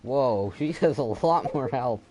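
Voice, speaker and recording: A young man giving casual, halting gameplay commentary, muttering his reactions as he goes. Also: mid-range voice, animated, low-quality recording. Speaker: gamer, channel host. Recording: loud game noise behind.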